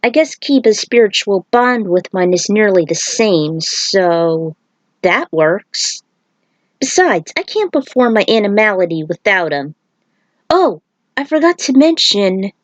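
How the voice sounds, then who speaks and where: Woman voicing an earnest, measured shaman warrior. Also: mid-range voice, big pitch swings, no room sound. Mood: explaining. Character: earnest male shaman warrior, patient mentor.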